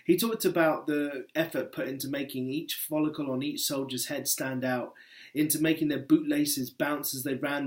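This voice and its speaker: Wry man reading his essay aloud. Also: a little high. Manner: wry, conversational, emphatic on key words. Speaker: book editor and essayist reading his work. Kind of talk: live reading of a personal essay.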